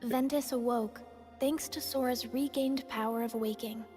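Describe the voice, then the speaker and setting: A woman playing an earnest young game heroine, recounting her friends' battles in measured, solemn narration. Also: a little high, steady tone, warm tone, soft background music, close to an everyday voice. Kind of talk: scripted story recap narration.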